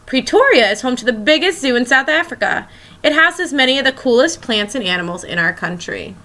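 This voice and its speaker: A woman's voice: sits high, very animated, fairly quick, pushed and bright. A woman schoolteacher reading a children's picture book aloud, expressively and as if speaking directly to young listeners.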